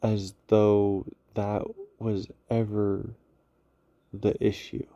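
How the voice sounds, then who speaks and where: A man speaks off the cuff in a deep, very mellow voice with a creaky edge. He reflects haltingly, pausing to search for the right word. The delivery is unscripted and thoughtful. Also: flat delivery, dry recording, dull audio. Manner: halting, searching for words, with long pauses. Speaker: speaker on spirituality and psychology.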